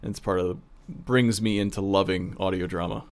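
A man's voice: sits low, fairly even intonation, brisk. A man talking casually on a podcast as a producer and co-host. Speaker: audio drama producer, co-host.

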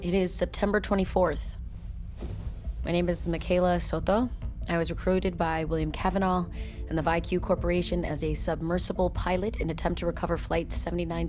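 An actress as a female submersible pilot in an audio drama, defiant but uneasy. Her voice sits a little low and she talks bluntly, breaking into hesitations. Music runs clearly behind her. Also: natural voice. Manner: halting, questioning, blunt. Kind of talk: audio fiction acting.

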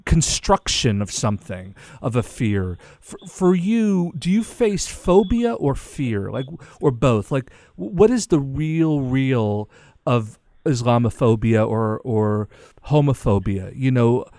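A man's voice: mid-range voice, big pitch swings, slow, warm tone, dry recording. A male interviewer asking probing questions and making emphatic points in conversation. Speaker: interviewer host.

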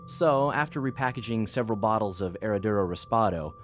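A man's voice: on the low side, lively intonation, fairly quick, music in the background, lightly acted. An actor playing an eager, deferential intelligence analyst giving a thorough briefing to his superior in an audio drama. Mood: quietly pleased. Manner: earnest, thorough, formal briefing delivery.

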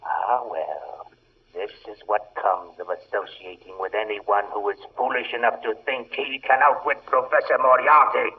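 An actor playing a suave, gloating criminal mastermind in a 1940s radio drama, with a husky voice and a mock-polite, deliberate way of talking. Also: male voice, fairly low pitch, steady tone. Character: suave gloating criminal mastermind professor, coldly amused villain. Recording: muffled phone-like vintage radio recording.

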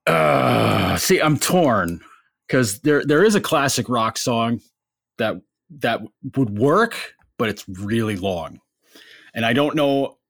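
A man with a bass voice, a guest on a podcast, telling stories in a casual, self-deprecating way. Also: expressive, takes it slowly, gravelly, a bit bright, no echo, clean studio sound. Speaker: podcast guest, sports writer.

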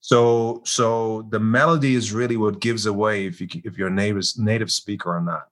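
Deep-voiced German-accented man, halting. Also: fairly even intonation, fairly bright tone. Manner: halting, many restarts. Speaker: actor guest. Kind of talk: casual interview.

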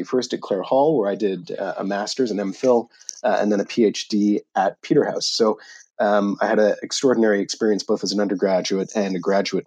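A middle-aged man from Canada being interviewed on a podcast, speaking in a measured way with many pauses and fillers. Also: on the low side, darkish tone, husky. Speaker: guest, author and UN official. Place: office in Jerusalem.

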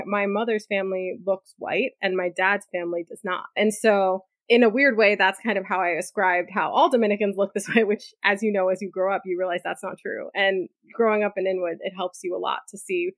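A woman's voice: medium pitch, brisk, hardly any pauses, no echo. A woman in her thirties from New City, speaking, candidly telling her life story as an interview guest. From New York City. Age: 30s. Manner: candid, lively storytelling with frequent likes and asides. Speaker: guest, corporate lawyer. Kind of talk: conversational interview answers.

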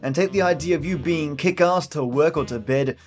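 A man's voice: fairly high pitch, a little fast. A man hosting a podcast, playful and effusive, mixing chatty asides with scripted storytelling. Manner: playful, effusive, mildly profane. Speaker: podcast host. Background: music, plucked guitar.

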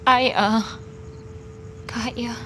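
An actress playing a centuries-old starving vampire woman in an audio drama, anguished and halting, guilt-ridden and desperate. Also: a little high, flat delivery, background noise. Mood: tormented, tempted. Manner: halting, anguished, breaking into whispers. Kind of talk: intimate audio-drama acting with breathy asides.

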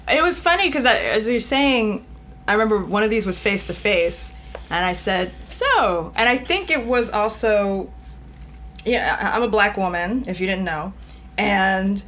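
A woman's voice: mid-range voice, a bit bright. A woman from New York, a guest editor talking directly in a casual podcast chat and laughing often as she speaks.

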